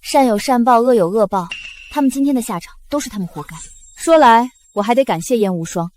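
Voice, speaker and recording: A woman's voice: on the high side, lively intonation, brisk, a little mellow, slight background noise, lightly acted. An actress playing a dignified, steadfast young woman general in a historical drama, speaking formally and earnestly. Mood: resolved. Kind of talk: historical short-drama acting.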